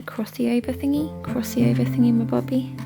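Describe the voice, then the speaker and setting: A British woman vlogging about crafts in a chatty, excitable, playful and cutesy way. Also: fairly high pitch, a little fast, creaky, over loud music. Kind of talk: chatty craft vlog with narrated voice-over.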